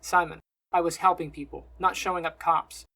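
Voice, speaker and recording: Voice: mid-range voice, fairly quick, a bit bright, lightly acted, low-quality recording. A narrator voicing a solemn, compassionate young man with healing powers, dry and restrained. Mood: defensive. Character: solemn young male healer with godlike powers, compassionate, self-righteous.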